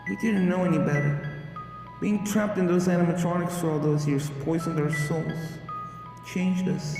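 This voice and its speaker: A woman voicing a grave, calm female pony spirit in a fan fiction reading, quiet, measured and remorseful. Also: on the low side, creaky, heavy reverb, in a large hall, distant mic, faint music behind. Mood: solemn, remorseful.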